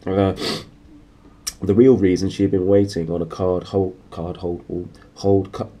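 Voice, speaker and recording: A man reading a children's story aloud in an expressive narrating style, as a storyteller would. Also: deep voice. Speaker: storybook reader.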